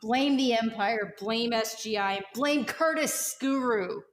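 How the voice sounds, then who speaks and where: A woman plays a hard-drinking female rebel in a sci-fi tabletop game. Her fairly high voice is bitter and intense, grumbling with defiance at those who wronged her. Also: slow, slight background noise. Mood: grumbling, defiant.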